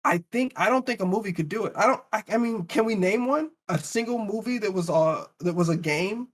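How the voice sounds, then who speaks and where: Male, slightly high register, casual panel debater, emphatic and argumentative. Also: brisk. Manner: emphatic, argumentative, rhetorical questions. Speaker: podcast panelist.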